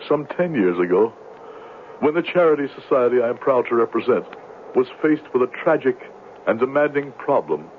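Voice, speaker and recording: An actor playing a smooth-talking swindler who poses as a solemn, pious missionary in a 1970s radio drama, with theatrical hesitations. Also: male voice, mid-range voice, close to an everyday voice. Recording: muffled, noisy old radio broadcast.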